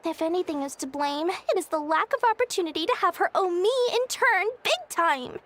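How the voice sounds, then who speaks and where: A theatrical, high-pitched wheedling shopkeeper woman. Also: lively intonation, a little fast, a bit bright. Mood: aggrieved, melodramatic. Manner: dramatic, effusive, sighing. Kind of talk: video game voice acting. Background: music.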